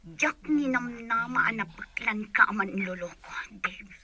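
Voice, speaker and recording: A woman's voice: fairly high pitch, expressive, unhurried, bright, forceful tone, heavily acted. A young woman with an Ilocano accent playing a hurt, angry sweetheart accusing her boyfriend in a radio drama.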